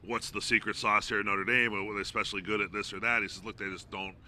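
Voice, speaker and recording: A man's voice: on the low side, flat delivery, fast talker, sharp tone, a little hiss or hum. A man who works as a play-by-play announcer, giving informal, discursive sports analysis as an interview guest.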